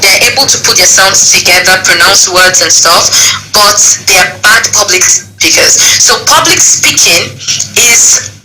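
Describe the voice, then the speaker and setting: A woman's voice: mid-range voice, unhurried, sharp tone, low-quality recording. Nigerian-accented woman, English teacher, emphatic interview talk, music playing behind. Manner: emphatic, checks in with the listener often. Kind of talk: earnest interview discussion.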